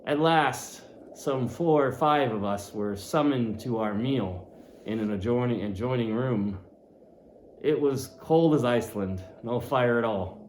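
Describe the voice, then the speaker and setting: A man reads a classic novel aloud with drama, rising and dropping his voice for effect. His voice sits mid-range. The room gives a noticeable echo with a faint hum of ambience. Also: unhurried. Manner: expressive, shifting pitch and loudness for effect. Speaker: audiobook reader.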